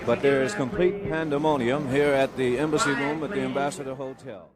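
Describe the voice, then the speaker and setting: A man's voice: mid-range voice, fairly even intonation, a little fast. A man working as a broadcast news reporter, giving an urgent, explanatory live breaking report. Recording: archival broadcast amid very loud crowd. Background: loud crowd commotion.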